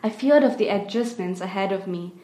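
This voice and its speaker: A woman's voice: medium pitch, brisk, dark tone. Young Indian woman, student essay reader, earnest, echoey room. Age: young adult. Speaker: undergraduate student. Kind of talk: reading a personal essay aloud. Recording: roomy echo.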